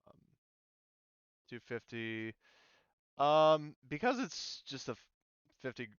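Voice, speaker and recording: A man's voice: medium pitch, very animated, takes it slowly, smooth, no room sound. A man running a tabletop game as game master, talking casually and hesitantly as he thinks aloud, on a muffled voice-chat line.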